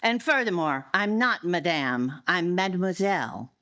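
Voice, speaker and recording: A woman playing a brisk, self-possessed single lady in a live forties-style radio mystery. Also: on the low side, expressive, bright, forceful tone, exaggerated voice. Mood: crisp, indignant. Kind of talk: live 1940s-style radio drama acting. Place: live performance in a library before an audience.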